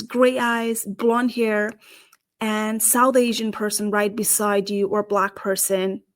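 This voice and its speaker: A woman with a Nepali accent speaks at a slightly raised pitch, earnest and questioning as she talks straight to her audience. She is middle-aged, grew up in Nepal, and hosts a career talk show on her own. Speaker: career strategist and show host. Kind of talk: solo talk to camera.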